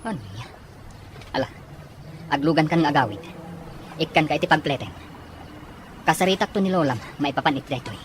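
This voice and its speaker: A man's voice: a little high, animated, a little fast, long pauses, slight background noise, natural voice. A man acting a concerned, protective father in an Ilocano radio drama. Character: concerned, indignant father of a schoolgirl.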